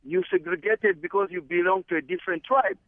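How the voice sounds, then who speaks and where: A man's voice: high voice, fairly even intonation, fairly quick, dry recording. A man from Zambia calling in to a radio show, speaking earnestly and hesitantly. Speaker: caller. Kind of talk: radio call-in. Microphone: phone line. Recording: muffled phone line.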